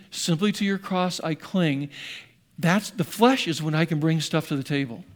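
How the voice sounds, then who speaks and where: A man preaching a sermon as pastor, animatedly voicing the apostle in first person with rising emphasis. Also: sits high.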